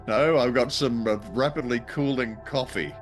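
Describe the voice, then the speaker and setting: A man's voice: mid-range voice, moderate pace, faint music behind. A man co-hosting a radio show in casual chat, jokey and wry, with a rambling, hesitant delivery. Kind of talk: casual chat about old radio drama.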